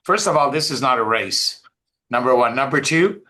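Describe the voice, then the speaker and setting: A man's voice: mid-range voice, expressive, normal speed, bright, forceful tone. A man hosting an online team call, talking off the cuff and insistently, repeating points and checking that listeners follow. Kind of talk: unscripted motivational talk.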